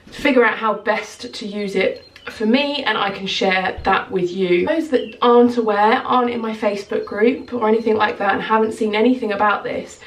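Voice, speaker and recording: A woman in her thirties chatting to camera in a casual vlog, sounding slightly nasal from a cold. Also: mid-range voice. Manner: chatty, gestures with hands while talking. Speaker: skincare consultant vlogger. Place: small bedroom at home, indoors. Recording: roomy echo, slightly distant mic.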